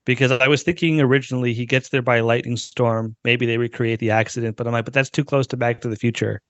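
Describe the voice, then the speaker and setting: A man's voice: medium pitch, fairly even intonation, fast, dry recording. A young man who co-hosts a podcast, talking and reading in a plain conversational voice. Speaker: podcast co-host and amateur writer. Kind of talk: dramatic story reading, then casual chat. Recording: dry, slightly dull close recording.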